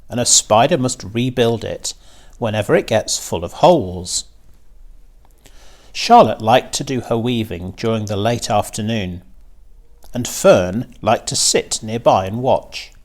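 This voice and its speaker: A man reads a children's story aloud. His delivery is measured and unhurried, with expressive, widely moving pitch. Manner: deliberate, measured. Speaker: teacher reading aloud.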